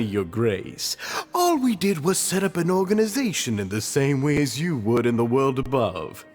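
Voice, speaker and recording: Earnest society founder, mid-pitched, very lively intonation, deferential, courteous, game dialogue with background music. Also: male voice. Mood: honored, eager. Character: earnest, deferential male leader of a prison self-help club. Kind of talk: video game voice acting.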